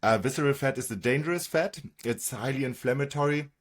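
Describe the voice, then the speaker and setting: A man's voice: medium pitch, fairly quick. A man with a German accent, a native German speaker, talking as a fitness coach in an informal explainer, often checking in with "okay?" or "right?". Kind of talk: informal explainer talk with slides.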